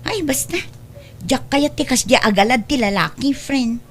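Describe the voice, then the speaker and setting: A woman's voice: high voice, moderate pace, close to an everyday voice. A woman playing an impatient, sulky young girlfriend in an audio drama, with a nagging, exasperated manner. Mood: fed up.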